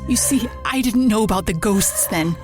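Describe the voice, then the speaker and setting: An actress playing a haunted woman in an audio drama, confiding a ghost story in an anxious, halting, repetitive way. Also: mid-range voice, expressive, a little mellow, faint music behind, close to an everyday voice. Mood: eerie, intent.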